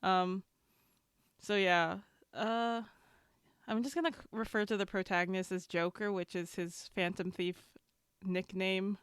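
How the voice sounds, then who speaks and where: A woman on a podcast panel casually explaining things to fellow fans in a halting, hedging way. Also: mid-range voice, fairly even intonation, smooth, no room sound, studio quality. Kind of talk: casual explanatory fan chat.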